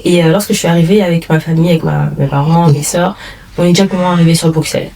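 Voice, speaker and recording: A woman in her twenties from Cameroon, talking casually as an interview guest, lively with frequent fillers and laughs. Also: lowish voice, quick pace, poor audio. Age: 20s. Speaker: podcast guest, entrepreneur.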